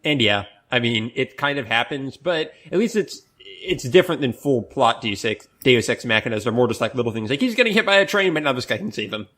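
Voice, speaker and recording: A man talks quickly in a casual podcast discussion. His pitch sits in a middle range, and he lays out his points in an analytical, explanatory way. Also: very animated, fairly bright tone. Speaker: podcast panelist.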